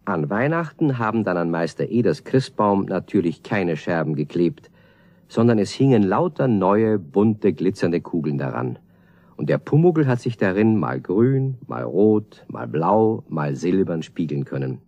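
A man's voice: deep voice, very animated, unhurried, warm tone, full character voice. A man narrating a children's radio play as a scripted storybook tale, on an old record. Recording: dull, roomy 1970 record.

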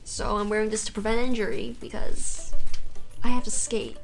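A teenage girl with a fairly high voice and a gravelly edge talks casually to her webcam, explaining a beginner workout. She is getting over a cold and keeps saying "you guys". Soft music plays faintly underneath. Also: flat delivery, moderate pace. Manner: chatty, says "you guys" often, light laughs. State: recovering from a cold. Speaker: figure skating vlogger. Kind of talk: casual tutorial talk to camera. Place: carpeted basement room at home.

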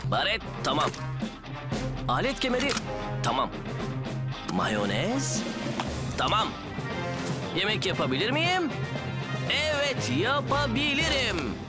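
An upbeat builder boss in a children's cartoon. His voice is fairly high and swings about in lively fashion as he urges his crew on, with prominent music underneath. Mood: chipper. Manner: animated, encouraging. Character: cheerful male builder, upbeat crew leader. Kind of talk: children's cartoon dub acting.

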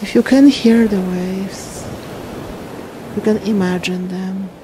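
A woman leads a guided meditation at a very slow pace, leaving long pauses between short phrases. Her delivery is soothing and sits at a medium pitch. A clear wash of ocean waves and wind runs behind her voice. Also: fairly even intonation.

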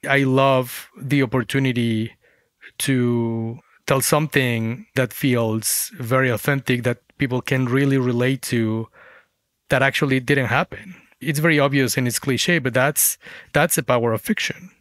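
A man's voice: medium pitch, fairly even intonation, measured pace, fairly bright tone, no echo. Man, close dry sound. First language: Spanish. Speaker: guest author, former journalist. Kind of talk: reflective interview storytelling.

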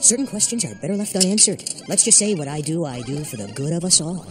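A woman voicing a jumpy, wisecracking school-age boy eager to belong, with a dramatic, sarcastic manner, in an animated series. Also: deep voice, fast talker, over music. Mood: guarded, snide.

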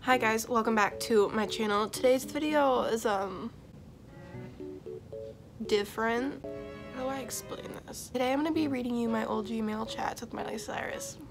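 A woman in her twenties, a little congested from the flu, telling a story in a casual bedroom vlog with animated, excitable swings in loudness. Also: fairly high pitch, normal speed, music in the background. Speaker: YouTuber. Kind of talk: casual storytelling vlog, reading old chats aloud. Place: bedroom, indoors.

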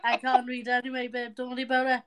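A woman's voice: fairly high pitch, monotone, fast talker, fairly bright tone. A young woman with a South Wales Valleys accent, hosting a livestream from home on her phone, chatting crudely, swearing constantly and tripping over her words. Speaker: livestream host. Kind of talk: casual crude chat. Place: home indoors. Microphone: phone front camera close up.

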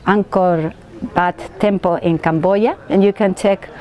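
Halting, mellow Spanish-accented woman. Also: fairly low pitch, steady tone. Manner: halting, searching for words in non-native English. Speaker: vlogger presenting a theory. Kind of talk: unscripted explanatory talk to camera. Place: outdoors at open stone temple ruins.

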